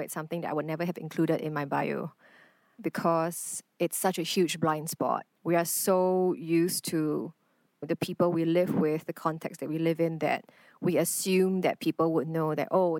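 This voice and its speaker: A woman's voice: low-pitched, steady tone, moderate pace, no room sound. A woman from Singapore, an artist speaking as a guest in a reflective, earnest conversation.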